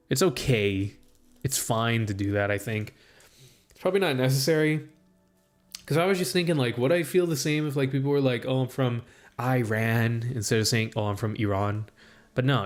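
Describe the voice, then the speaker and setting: A young man in a casual rambling monologue, full of "like" and restarts as he thinks out loud. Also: medium pitch. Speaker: student streamer.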